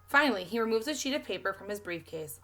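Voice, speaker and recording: A woman reading a children's story aloud in an expressive narrating style. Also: mid-range voice, fast talker, soft background music.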